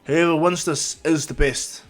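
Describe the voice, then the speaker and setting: A man's voice: on the high side, steady tone, unhurried, faint music behind, lightly acted. A man voicing a brash teenage boy with superpowers, blunt and dismissive, in an anime-style story. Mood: scornful.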